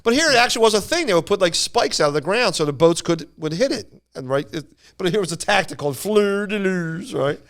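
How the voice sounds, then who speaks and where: A man casually telling anecdotes on a podcast in a rambling, animated way, acting out what people said. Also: sits high, brisk. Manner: rambling, animated retelling with acted-out quotes. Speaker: podcast storyteller.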